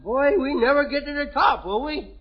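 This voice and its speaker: Bratty little kid, baby talk, 1940s radio comedy, dull lo-fi sound. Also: male voice, very high voice, moderate pace. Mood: silly. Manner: childish ungrammatical baby talk. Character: mischievous little boy Junior, bratty and cheeky. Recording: noisy lo-fi vintage radio broadcast.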